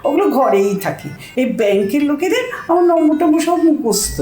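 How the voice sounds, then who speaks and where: A woman's voice: high-pitched, animated, fairly quick, dark tone, reverberant, soft background music. A woman playing a bossy, boastful older housewife in a comic audio drama, scolding and wheedling with self-important chatter.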